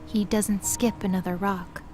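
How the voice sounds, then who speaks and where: A woman's voice: mid-range voice, steady tone, measured pace, over music. A woman reading scripted narration for a mythological audio drama. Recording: clearly noisy background.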